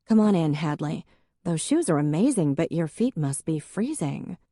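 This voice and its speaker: A woman reading a calm, coaxing woman. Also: low-pitched, normal speed, no echo, clean studio sound. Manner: patient, coaxing. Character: calm woman negotiator soothing a drunk.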